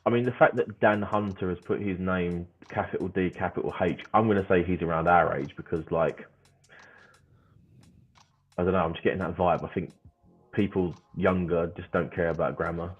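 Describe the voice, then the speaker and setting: A man in his thirties joining a podcast livestream as co-host, chatty and given to playful rants, with quick laughs. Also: low-pitched, fairly even intonation, slightly rough. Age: 30s. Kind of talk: casual livestream chat. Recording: tinny phone-like call audio.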